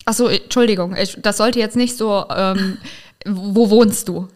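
A woman hosts a casual podcast interview. She asks her questions in a loose, chatty way and pauses often on small filler sounds. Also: mid-range voice, fairly even intonation. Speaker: podcast host.

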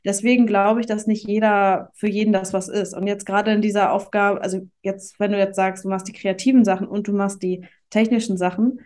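A woman's voice: medium pitch, flat delivery, brisk, few pauses, darkish tone. A woman giving casual explanations as an interview guest, informally working through examples and adding tag questions. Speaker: interview guest, marketing company managing director.

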